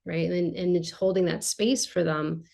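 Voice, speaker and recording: A woman's voice: lowish voice, flat delivery, moderate pace, creaky, darkish tone. A woman art therapist being interviewed, explaining in a chatty, casual way with lots of filler words.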